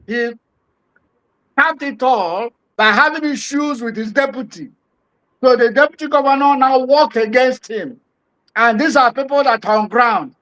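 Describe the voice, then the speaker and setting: Male Nigerian pundit, strikingly high voice, opinionated studio political commentary. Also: fairly bright tone, poor audio. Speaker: studio guest, political analyst.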